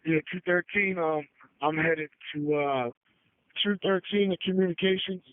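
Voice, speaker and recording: A man working on a road crew, checking in over two-way radio in a casual, halting way. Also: high voice, dry recording. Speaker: public works road crew driver. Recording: tinny narrowband radio transmission.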